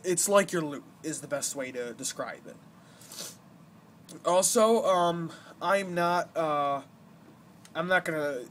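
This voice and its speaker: Young male gaming host, slightly high voice, rambling explanatory delivery, noisy amateur home recording. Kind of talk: casual gameplay commentary.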